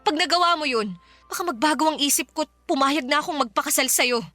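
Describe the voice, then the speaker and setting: A woman's voice: sits high, quick pace, bright, forceful tone, soft background music. A woman acting a hardworking, fed-up girlfriend in a radio drama, practical and reproachful. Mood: firm, pained. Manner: nagging, reproachful. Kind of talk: radio drama acting in Filipino love story.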